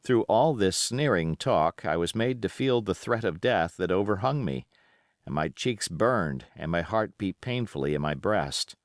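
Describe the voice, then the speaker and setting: Deep-voiced, unhurried male audiobook narrator. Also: animated, no echo, clean studio sound. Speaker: audiobook reader. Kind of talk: scripted narration of an adventure novel.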